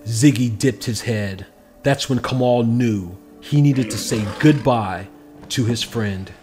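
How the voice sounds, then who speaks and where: A man with a medium-pitched voice narrates a children's picture book with expression. He reads as a storyteller, over a clearly audible bed of background noise. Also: darkish tone.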